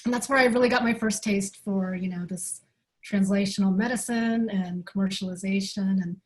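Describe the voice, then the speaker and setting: A woman's voice: medium pitch, steady tone, normal speed. Chatty woman investor in her fifties. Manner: chatty, friendly, laughs lightly. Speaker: venture investor panelist. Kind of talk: self-introduction on a panel.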